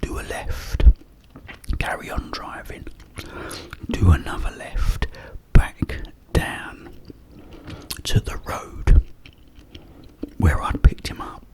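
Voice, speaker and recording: A man telling a personal anecdote in a hushed whisper throughout. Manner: whispering throughout, unhurried, drawn-out storytelling. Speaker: storyteller.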